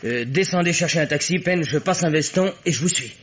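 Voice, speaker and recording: A man's voice: fairly high pitch, fairly quick, lightly acted. Anxious fugitive played by a man, muffled recording. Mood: tense, troubled. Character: anxious, weary man on the run, wrongly suspected. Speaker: actor in a radio mystery. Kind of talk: French radio detective drama acting. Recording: dull, noisy old radio recording.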